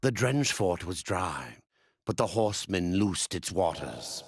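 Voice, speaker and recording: A solemn, ancient-sounding teller of game legends. The voice is low and gravelly, very slow and portentous, ringing with heavy reverb. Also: male voice, animated. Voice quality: deep, gravelly. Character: solemn, ancient-sounding male fantasy storyteller. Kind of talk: scripted video game narration. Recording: echoing, long reverb.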